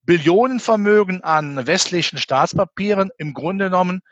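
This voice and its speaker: Male interview guest from the Rhineland: slightly high, rough-edged voice, emphatic, argumentative delivery. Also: normal speed, fairly bright tone, no echo, muffled recording. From Rhineland, western Germany near the Belgian and Dutch border. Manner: emphatic, argumentative, full of rhetorical questions. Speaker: interview guest commenting on economics and finance. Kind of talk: animated spoken opinion in an interview.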